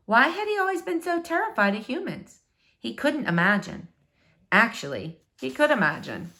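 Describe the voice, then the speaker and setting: A woman reading a children's novel aloud as expressive storybook narration. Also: mid-range voice.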